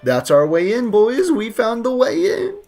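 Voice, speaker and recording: A man's voice: extremely high pitch, huge pitch swings, unhurried, darkish tone, soft background music. A man live-streaming a game, commenting in a joking, playful way with lots of slang.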